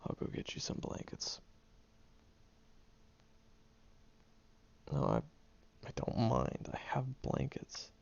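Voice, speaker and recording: A prickly young man who hides his soft side behind grumbling, played by a man in an audio roleplay. His deep, gravelly voice swings widely up and down as he hesitates and teases. The close recording sounds dull and lo-fi. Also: heavily acted. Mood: teasing. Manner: grumbling, hesitant, awkwardly tender. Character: prickly gruff young man, secretly caring friend.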